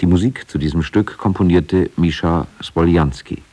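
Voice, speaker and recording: A man reading scripted radio feature narration in a measured, factual way. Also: sits low, warm tone, breathy edge. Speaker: documentary narrator.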